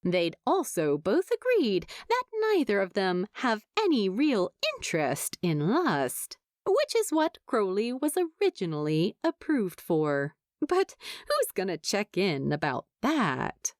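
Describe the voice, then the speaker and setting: A woman narrating scripted fan fiction as an expressive storyteller. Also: medium pitch, slow pace, few pauses, no room sound, clean studio sound.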